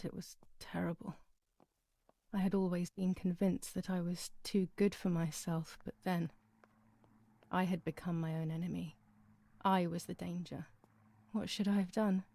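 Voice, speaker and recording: An actress playing a traumatized young woman, narrating her wartime past in a sombre, measured, confessional way for a dramatic game. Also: on the low side, mellow, no room sound. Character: traumatized young German woman recounting wartime horrors, haunted.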